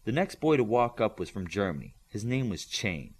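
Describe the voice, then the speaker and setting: A man's voice: fairly low pitch, sing-song, normal speed. A man narrating a scripted fiction audiobook, reading a story aloud.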